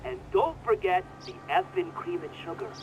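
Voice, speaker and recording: An actor playing a mocking, juvenile police officer in a video game, with a jeering, slangy manner. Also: male voice, very high-pitched, wildly swooping pitch, moderate pace, gravelly, muffled recording, noisy background, full character voice. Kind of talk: scripted video game cutscene acting.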